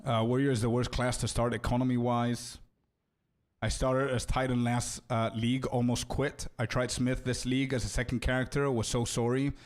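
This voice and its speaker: Young guy streaming a game, chill, says bro a lot, stumbles over his words, regular mid voice. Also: mid-range voice. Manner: laid-back, calls viewers bro and man, stumbles and repeats words. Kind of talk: casual live-stream chat, answering viewers.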